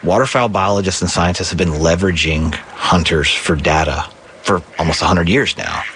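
A man explaining conservation funding in a steady, informative interview. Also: low-pitched, normal speed, a little hiss or hum. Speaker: conservation project manager, interviewee.

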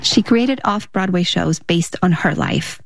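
A woman's voice: lowish voice, unhurried, vocal fry. A woman reading scripted documentary narration as the host of a show. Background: prominent music under the narration.

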